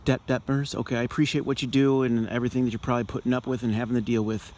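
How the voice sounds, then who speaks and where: A man speaking earnestly and deliberately as a caller to a political office, with halting, emphatic phrasing. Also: medium pitch, little pitch movement, darkish tone. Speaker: caller, activist in health and healing. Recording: noisy amateur recording of phone call.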